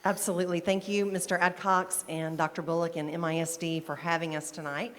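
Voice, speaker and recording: A woman's voice: fairly low pitch, flat delivery, a little fast, a little hiss or hum. A woman presenting a student of the month, upbeat and chatty, cheering on each detail she reads out. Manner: upbeat, chatty, warmly enthusiastic. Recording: reverberant meeting hall.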